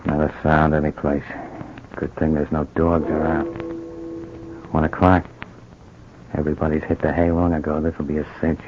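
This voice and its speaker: A low-pitched, streetwise crook in an old radio play, cynical and pushy. He talks in tough slang, bullying and coaxing his partner. The recording is dull, with a noisy old-broadcast hiss. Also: monotone, normal speed, pauses often. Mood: hushed, urgent. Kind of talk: old-time radio drama acting.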